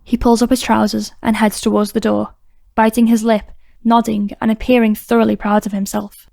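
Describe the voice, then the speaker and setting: Narrator, female: scripted crime audiobook reading, mellow tone, slightly high register, steady. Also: normal speed, creaky, no echo.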